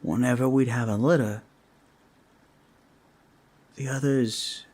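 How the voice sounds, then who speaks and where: A man voicing a devoted, worried orc father in a roleplay audio drama, speaking haltingly and earnestly. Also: medium pitch, fairly even intonation, a little mellow. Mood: heartfelt, sorrowful. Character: Omar, anxious, devoted orc father, grieving for kids.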